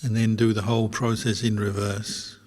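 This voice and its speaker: A man teaching as a Buddhist monk, guiding a meditation in measured short phrases with long pauses between them. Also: on the low side, level tone, a little mellow. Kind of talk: guided meditation instruction. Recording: long hall reverb.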